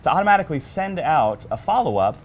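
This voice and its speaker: A man's voice: on the high side, sing-song, quick pace, soft background music. A man giving small business advice on a weekly video chat, friendly and upbeat, telling anecdotes with a few fillers. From Arizona, USA. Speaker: regular business guest. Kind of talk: casual explanatory chat.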